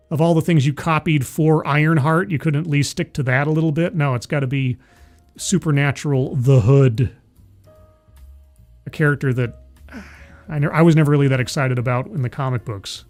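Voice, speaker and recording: A man's voice: a little high, moderate pace, soft background music. A man in his forties hosting a livestream, chatting casually with viewers and laughing at himself. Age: 40s. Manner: rambling, self-deprecating, laughs often. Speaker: livestream host. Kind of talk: casual chat with live chat.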